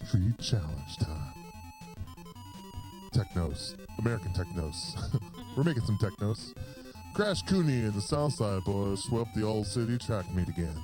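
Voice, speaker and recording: Man, reading game story aloud, hammy and playful, lively pitch, game music behind. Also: a little slow. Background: video game music.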